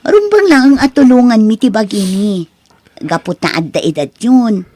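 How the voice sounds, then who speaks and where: A woman's voice: a little high, expressive, normal speed, dark tone, dry recording. A woman playing an earnest, respectful young student daughter in an audio family drama, speaking politely. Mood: reassuring. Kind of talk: Ilocano radio-style drama acting.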